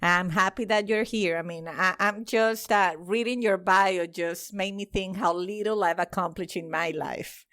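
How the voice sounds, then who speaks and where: A woman's voice: mid-range voice, a little slow, hardly any pauses, a bit bright, dry recording, studio quality. A woman hosting a podcast interview, gushing with praise and often restarting her sentences. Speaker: podcast host.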